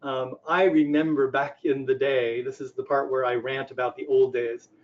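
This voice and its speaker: A man's voice: medium pitch, flat delivery, moderate pace. An older man giving a conversational presentation on business technology, explaining things by posing questions and answering them. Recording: dull, lo-fi audio.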